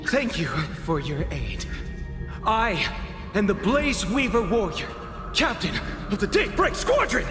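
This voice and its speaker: A man's voice: high voice, very animated, measured pace, long pauses. A man playing a theatrical, self-righteous warrior captain in a video game. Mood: grandiose. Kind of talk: dramatic video game voice acting. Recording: echoing long reverb under music.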